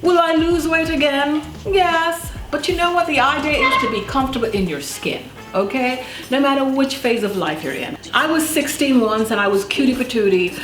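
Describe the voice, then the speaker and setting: Chatty woman, highish voice, roomy kitchen sound. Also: normal speed, hardly any pauses. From Jamaica. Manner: chatty, emphatic, rhetorical questions to listener. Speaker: cooking show host. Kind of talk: casual cooking demonstration. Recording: roomy echo, loud music behind. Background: music, clattering pots, stirring.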